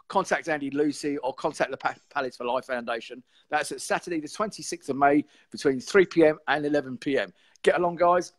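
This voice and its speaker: A man, hoarse and croaky, hosting a casual live stream show and chatting in a rambling way. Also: medium pitch. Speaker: podcast host.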